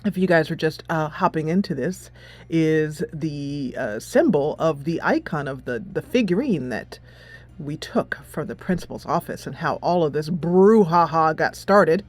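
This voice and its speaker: A woman's voice: sits low, lively intonation, measured pace. A woman giving casual, chatty commentary on a game playthrough, giggly and playfully amused. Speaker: gameplay host and streamer. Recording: soft music behind the voice.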